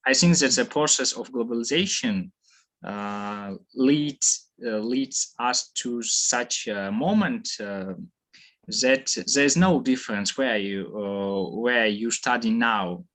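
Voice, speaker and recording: A young man from Tajikistan with a Tajik accent, answering questions at a student conference in halting English full of fillers and restarts. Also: medium pitch, fairly bright tone. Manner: halting, many fillers and restarts, searching for words. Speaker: student presenter.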